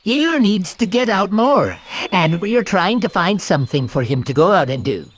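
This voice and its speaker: A man's voice: high-pitched, expressive, moderate pace, voice pushed up. A man voicing a fussy, bossy, irritable rabbit in a children's storybook, prissy and emphatic. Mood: irritated. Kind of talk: children's storybook read-aloud.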